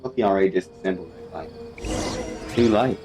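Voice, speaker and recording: Deep-voiced man quipping over gameplay. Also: normal speed. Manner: offhand, dry quips over the game. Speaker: player. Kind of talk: casual gameplay commentary. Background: game music.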